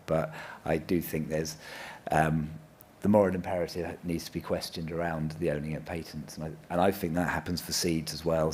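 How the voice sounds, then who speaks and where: A man's voice: very deep voice, slight background noise. A man giving an earnest, unscripted panel answer at a conference, often repeating and restarting his phrases. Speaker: panel speaker. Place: live conference event.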